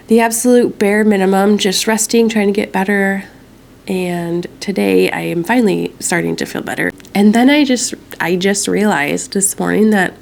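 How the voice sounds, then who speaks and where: A young woman talks casually to her camera at home, her voice stuffy and congested. She tells a rambling story, with a gravelly fry at the ends of her phrases. Faint background hiss. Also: on the low side. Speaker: vlogger.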